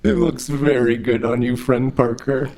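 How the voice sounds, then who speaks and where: A man's voice: mid-range voice, unhurried, warm tone, natural voice, rough recording. A man playing an overly friendly, literal-minded robot in an audio drama, with formal, stilted speech. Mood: earnest, friendly.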